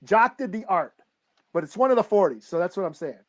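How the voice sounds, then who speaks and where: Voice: mid-range voice, animated, fairly quick. Comics podcast cohost just chatting, slangy and sweary, mid-range voice. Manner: profane, informal, slangy. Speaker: podcast co-host discussing comic books. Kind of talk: casual chat, debate.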